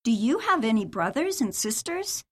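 Higher-voiced woman in textbook dialogue. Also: sing-song, moderate pace, slightly rough. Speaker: language lesson dialogue reader.

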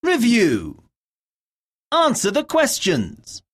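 A woman narrating a scripted English lesson, reading out words, questions and dictation in a deliberate, enunciated way with pauses. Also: on the high side, wildly swooping pitch, breathy edge.

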